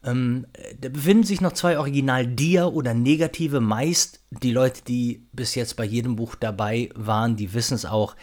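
A man's voice: mid-range voice, normal speed, hardly any pauses, darkish tone. A man hosting a podcast, making a casual unscripted announcement in an informal, chatty, somewhat hesitant and rambling way. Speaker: podcast host.

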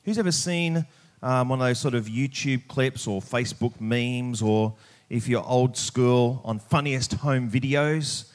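A man preaching a sermon, speaking emphatically and pausing deliberately on key words. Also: medium pitch. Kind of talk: sermon with scripture reading.

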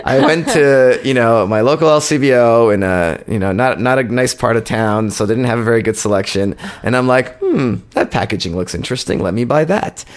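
A man, co-hosting a podcast, telling a long, halting story in casual chat. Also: mid-range voice, lively intonation. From born in Poland, raised in Canada. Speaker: podcast co-host.

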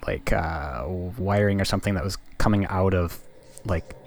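A man playing a tabletop game, talking casually and searching for words in a halting way. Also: sits low, a little hiss or hum.

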